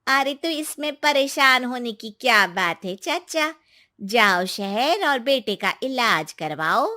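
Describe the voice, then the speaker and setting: A kind, secretive village woman acted by a woman in a cartoon folk tale. Her voice is high and bright, swinging widely in melody as she reassures in homely, colloquial words. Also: animated, slow, exaggerated voice. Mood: reassuring. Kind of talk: cartoon moral-story acting.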